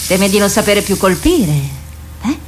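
An animated, theatrical female diplomat acted by a woman in a radio drama. Her pitch moves widely as she dismisses and persuades, scornful with anxiety underneath. Faint noise sits behind the voice. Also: unhurried, close to an everyday voice. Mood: mocking.

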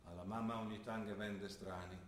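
A man plays a gruff, grumbling old father with a thick Trentino dialect, blunt and fussy as he visits his grown daughter. His voice carries through a roomy stage space from some way off the mic. A faint hiss sits under the whole recording. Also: lowish voice, fairly even intonation, normal speed, close to an everyday voice. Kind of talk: stage play performance. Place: theatre stage. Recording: echoey stage sound from a distance with faint noise.

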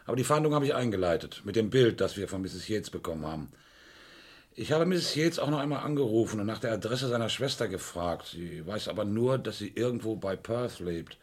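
Police inspector, radio crime drama: low, husky, curt and probing. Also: male voice, animated, a little fast. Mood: dry, pondering. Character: brisk, sceptical male police inspector. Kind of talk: German radio crime play acting.

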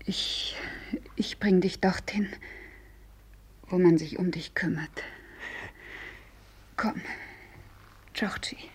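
A protective sister in an old German-language radio drama. Her voice is very breathy and half-whispered, slow and hesitant, soothing like someone calming a dangerous child. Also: lowish voice, heavily acted. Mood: tender, hesitant. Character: killer's protective sister, gently coaxing him. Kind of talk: 1950s German-language radio play acting.

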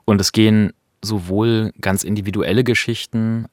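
Low-voiced man, close and dry. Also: steady tone, normal speed, a little mellow, no room sound. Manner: reflective, analytical, explanatory. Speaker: podcast host. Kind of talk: conversational discussion of radio drama.

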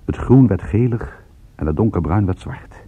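A very husky male private detective, wry and calm under danger. His delivery is dry and understated but swings widely in pitch. It comes through a thin, phone-like old radio play recording. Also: moderate pace. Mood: grave, suspenseful narrating. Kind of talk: radio play acting with first-person narration.

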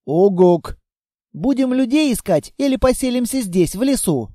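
A man voicing a chatty little girl, a child of darkness, who pleads and chatters without pause. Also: extremely high pitch, sing-song, mellow, dry recording, studio quality, pitched above the performer's own voice, exaggerated voice.

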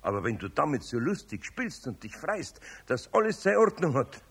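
An older Bavarian craftsman, gruff but kindly and patient. His voice is husky and gravelly, with lively swings in pitch, in the style of a 1970s children's radio play. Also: normal speed, heavily acted. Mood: earnest, patient.

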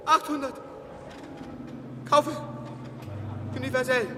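An actor playing a dogged, desperate stockbroker in a radio play, calling out loud, clipped orders in an echoing hall. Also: male voice, very high-pitched, little pitch movement, slow pace. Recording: echoing hall, noisy crowd and street ambience.

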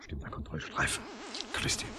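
A man playing an excitable, defiant computer scientist in a German radio drama, emphatic and dramatic. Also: moderate pace, crowd noise, lightly acted. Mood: uncertain.

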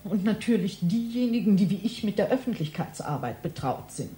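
Actress as an officious library clerk, lively and mellow. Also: female voice, mid-range voice, a little fast, natural voice. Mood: self-important, officious. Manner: officious, pedantic, boastful, wordy. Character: self-important, touchy female library information clerk guiding a visitor. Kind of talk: German satirical radio play acting.

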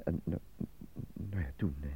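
A man playing an anxious, apolitical stage actor in a Dutch radio drama, with a theatrical manner that turns halting under nerves. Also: deep voice, vocal fry, warm tone, a little hiss or hum. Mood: nervous, subdued. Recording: dull lo-fi radio drama recording.

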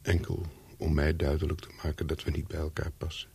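Lonely storyteller for radio drama, extremely deep and husky, brooding delivery. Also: male voice, flat delivery, a little mellow, exaggerated voice. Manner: slow, brooding, deliberate. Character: lonely, brooding man narrating his own story. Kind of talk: radio play monologue and dialogue.

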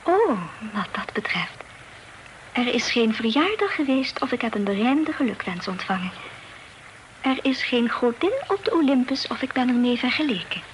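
A woman acting a prim, playful young lady of good family in a period radio play. Her voice is very high, her manner formal and coy with gentle reproof. The old recording has clear noise. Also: animated, moderate pace, natural voice. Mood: teasing, polite. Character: well-bred young Dutch lady, prim, playful, modest.

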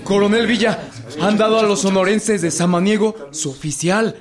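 An actor voices an urgent revolutionary messenger in a radio drama. The voice is high, reporting to a superior. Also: male voice, normal speed, a crowd in the background, close to an everyday voice.

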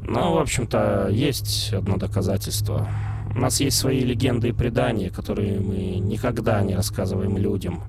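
A man's voice: fairly low pitch, moderate pace, loud music behind the voice, close to an everyday voice. A man voicing a proud, suspicious male sphinx who lectures in a haughty, grumbling way. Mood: lecturing. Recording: loud background noise.

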